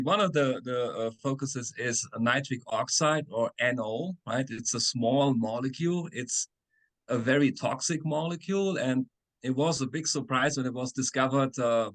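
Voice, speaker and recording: A middle-aged man, a chemistry professor answering interview questions on a video call, explaining patiently with many "uh"s and tag questions. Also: mid-range voice. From Germany. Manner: explanatory, frequent "right?" and "uh".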